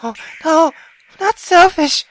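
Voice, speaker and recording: A woman's voice: extremely high pitch, animated, takes it slowly, no echo, voice pushed up, heavily acted. A woman voicing a frightened, timid daughter from a visual novel, faltering and pleading as she protests. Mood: desperate.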